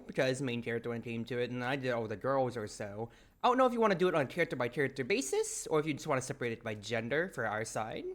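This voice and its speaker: A woman hosting a casual game stream, talking in a chatty, rambling, playfully theatrical way. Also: rumbling low. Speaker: streamer and host. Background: game music.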